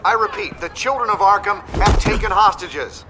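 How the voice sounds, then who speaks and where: A man's voice: sits high, normal speed, background noise, close to an everyday voice. A man acting an urgent, formal news reporter giving a breaking bulletin in a video game.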